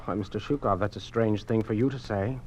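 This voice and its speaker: A man's voice: fairly low pitch, brisk, darkish tone, natural voice. A man acting in an old radio drama, playing an earnest, troubled museum worker under an oppressive regime. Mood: surprised, cautious. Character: earnest male museum colleague, troubled by communist lies. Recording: noisy vintage radio drama recording.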